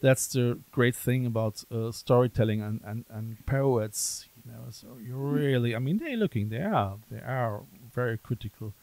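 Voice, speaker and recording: Halting German-accented male podcast host. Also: medium pitch, expressive, darkish tone. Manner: halting, repeats words, laughs often. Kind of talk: casual interview.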